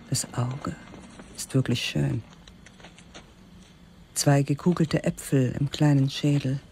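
A woman narrating a literary radio play in a slow, measured, spare voice that often sinks to a whisper. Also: low voice, mellow, a little hiss or hum.

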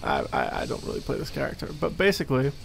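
A man playing a needy young gamer boyfriend in an ASMR roleplay, halting and teasing, with playful exasperation as he explains a game. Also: medium pitch, wildly swooping pitch, gravelly, a little hiss or hum, full character voice. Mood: casual explaining. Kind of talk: ASMR boyfriend roleplay, improvised-sounding casual chat.

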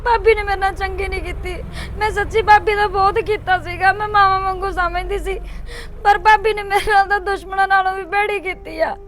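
A woman's voice: high voice, quick pace, a bit bright. A woman playing a young sister who cries and pleads with her brother. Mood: tearful. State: crying.

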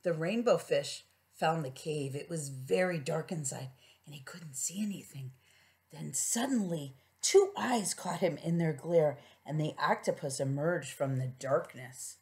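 A middle-aged woman reading a children's storybook aloud as a preschool teacher, with gentle questioning asides to young listeners. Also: low-pitched, measured pace.

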